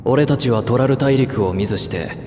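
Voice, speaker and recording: An actor voicing a calm, laconic male adventurer who narrates past events in a matter-of-fact way. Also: medium pitch, moderate pace, roomy sound, in a large hall, background noise, close to an everyday voice. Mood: reflective. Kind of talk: reflective game narration.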